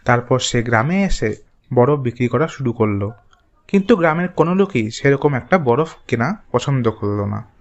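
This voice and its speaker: A man's voice: mid-range voice, expressive, normal speed, lo-fi sound. A man narrating a scripted village moral tale as a storyteller.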